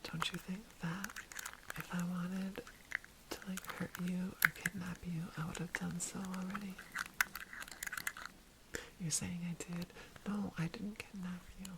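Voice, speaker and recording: A woman whispering in an ASMR roleplay, voicing a wary female nature being who distrusts humans and repeats her words softly. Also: fairly low pitch, little pitch movement, heavy background noise. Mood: suspicious whisper. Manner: soft whispering, repeating phrases slowly. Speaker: ASMR performer.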